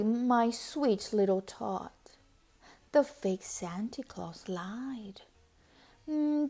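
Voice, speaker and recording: A woman voicing a sly, sneaky male creature scheming in a storybook read-aloud, with a drawn-out, falsely sweet manner. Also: a little high, darkish tone, full character voice.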